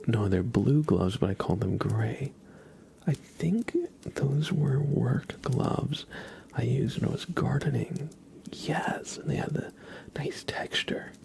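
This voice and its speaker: Whispering, creaky-voiced man, very unhurried. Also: mid-range voice. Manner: unhurried, hushed, pausing often. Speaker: ASMR livestream host. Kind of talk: whispered ASMR, casual tarot card reading and chat with viewers.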